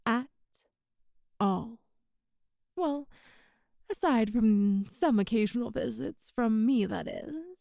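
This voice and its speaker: A mellow, high female voice, very close and dry, a woman performing a possessive boss in an intimate ASMR roleplay. She is coy and teasing, lingering over her words as she flirts with the employee she adores. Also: animated, no room sound, exaggerated voice. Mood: teasing, seductive. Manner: slow, coy, teasing, breathy pauses.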